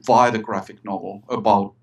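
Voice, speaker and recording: A man's voice: lowish voice, steady tone, normal speed. Eager middle-aged Finnish man, lots of restarts. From Finland. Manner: eager, repeats words, many restarts. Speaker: guest, writer and filmmaker. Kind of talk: casual interview chat.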